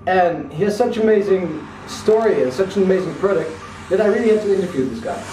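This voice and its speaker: High-voiced eager man, roomy distant sound. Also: expressive, brisk, very noisy. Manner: eager, chatty, slightly broken English. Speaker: interviewer host. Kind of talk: casual interview. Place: outdoors or open room in Chiang Mai. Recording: roomy, distant mic, faint ambience.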